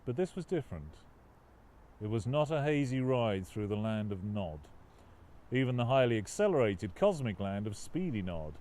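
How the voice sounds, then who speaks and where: Male author-narrator, lower register, expressive and dramatic reading of literary fiction. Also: normal speed, a little hiss or hum. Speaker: author reading own novel. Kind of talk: scripted audiobook narration.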